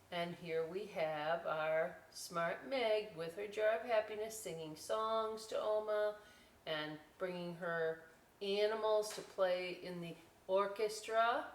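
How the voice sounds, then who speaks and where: Female story time host, lowish voice, unhurried, conversational read-aloud with asides for young children. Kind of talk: picture-book read-aloud with casual asides. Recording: roomy, distant mic with clear noise.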